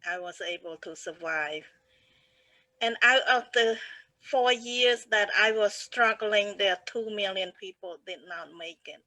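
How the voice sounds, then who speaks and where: A woman speaks slowly and earnestly, using simple phrasing. Her voice sounds bright and a little tense. It is recorded dry and close, with no room sound. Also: mid-range voice, no echo, rough recording. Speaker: guest speaker. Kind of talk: personal testimony.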